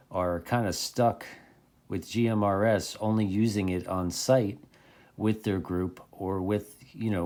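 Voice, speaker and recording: A man giving a casual, conversational product recommendation in a halting way, often repeating his words. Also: on the low side, a little mellow. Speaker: CB radio hobbyist presenter.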